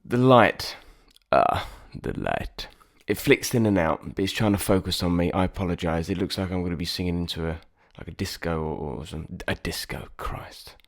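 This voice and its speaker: A man's voice: low voice, normal speed, hardly any pauses. A man with a nasal voice, a singer-songwriter rambling casually and self-deprecatingly about his album recording sessions. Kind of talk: reflective casual vlog talk.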